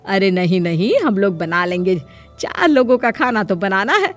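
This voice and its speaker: A woman's voice: mid-range voice, moderate pace, faint music behind, natural voice. A woman voicing a proud, sociable middle-aged mother-in-law in a cartoon moral story, firm and chiding. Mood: obliging.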